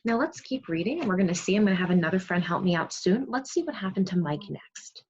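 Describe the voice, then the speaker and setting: A woman teacher reading a storybook aloud to young children in an online lesson, expressive, pausing to prompt and praise her pupils. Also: medium pitch, breathy edge. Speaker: second grade teacher. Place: remote video class. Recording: muffled, lo-fi online class audio.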